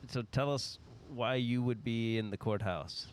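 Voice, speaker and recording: A man in a conversational discussion, phrasing his thoughts in a measured, tentative way. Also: medium pitch, slight background noise.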